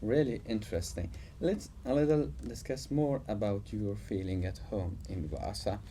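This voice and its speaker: A man's voice: lowish voice, normal speed, warm tone, slight background noise. A man interviewing a guest in non-native English, asking questions with frequent filler words. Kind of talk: casual interview talk.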